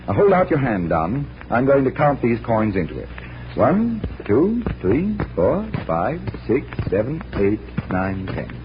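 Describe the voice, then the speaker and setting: A man's voice: medium pitch, big pitch swings, a little slow. An actor playing a confident, genial magician detective in 1940s radio style, instructing like a theatrical showman. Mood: playful showmanship. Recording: dull, noisy vintage radio recording.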